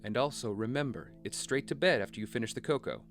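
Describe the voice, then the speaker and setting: A man playing a kindly, good-humored, gentlemanly father in an audio drama. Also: mid-range voice, fast, soft background music, close to an everyday voice. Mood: gentle.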